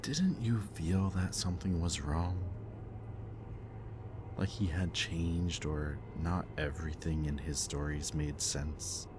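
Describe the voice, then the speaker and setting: Very deep slow-talking man. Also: steady tone, noisy background. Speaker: villain speaker in audio roleplay. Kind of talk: softly spoken roleplay monologue to the listener.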